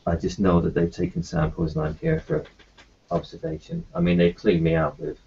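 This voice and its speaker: Guy in a tabletop rp, low husky voice, lots of ums and restarts. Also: sing-song, darkish tone. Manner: halting, full of fillers and restarts. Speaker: player voicing his doctor character. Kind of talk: in-character tabletop roleplay. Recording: dull lo-fi recording.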